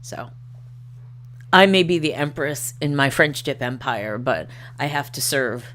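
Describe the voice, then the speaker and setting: A middle-aged woman with a low-pitched voice hosts a livestream. She moves between reading aloud and casual commentary, wandering off into wry, chatty asides. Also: animated, a little slow, fairly bright tone. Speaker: livestream host.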